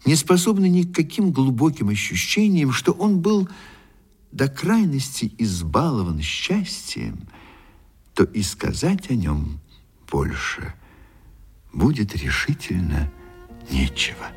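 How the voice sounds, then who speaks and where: A man narrating a literary radio play theatrically, with deliberate pauses and wry rhetorical questions. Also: medium pitch, big pitch swings, breathy edge. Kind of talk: dramatic literary storytelling for radio.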